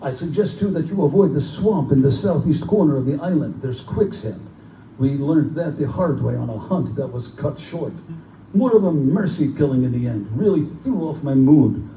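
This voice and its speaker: A man's voice: mid-range voice, animated, normal speed, warm tone, close to an everyday voice. A man playing a genteel, pompous general who hunts men for sport, in a live theatrical horror drama. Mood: gleeful, courtly. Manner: urbane, pompous, condescending. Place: live performance in a bookstore. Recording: distant, echoey live room recording with noise.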